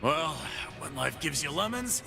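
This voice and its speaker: A brawling fight-pit boss, gruff, boastful, unhurried drawl, swooping pitch, game voice lines over music. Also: male voice, sing-song, heavily acted. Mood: cocky. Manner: gruff, boastful trash talk. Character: cocky brawling male fight-pit boss, swaggering tough.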